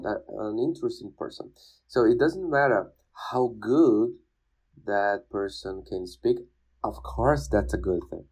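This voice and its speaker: Low-voiced man, halting English. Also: darkish tone, low-quality recording. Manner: emphatic, repeats phrases, halting non-native English. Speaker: podcast guest, language learner and teacher. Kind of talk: casual conversational interview.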